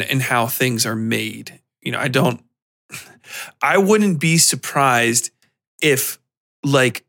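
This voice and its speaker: Bright-voiced man rambling about movies. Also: medium pitch. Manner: rambling, halting, swears casually. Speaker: podcast host. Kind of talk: casual solo film review.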